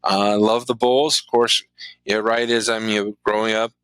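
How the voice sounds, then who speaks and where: A man's voice: lowish voice, level tone, normal speed, a bit bright, clear, resonant tone, no echo, poor audio. A middle-aged man from Chicago and southwestern Virginia, telling his story casually as an interview guest.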